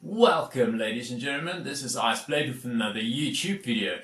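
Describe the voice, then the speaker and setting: A man's voice: medium pitch, animated, brisk, a bit bright, echoey room, recorded from a distance. A South African man whose first language is Afrikaans, hosting a casual DIY vlog and explaining each step with plenty of fillers. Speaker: YouTube DIY host.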